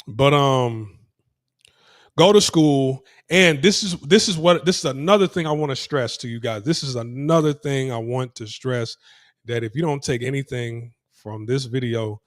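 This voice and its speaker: A man's voice: mid-range voice, no echo, studio quality. Rambling man vlogging outdoors. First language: English. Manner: rambling, repeats himself, many restarts and fillers. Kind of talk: casual walking vlog, talking to camera. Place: outdoors, on city streets, moving around.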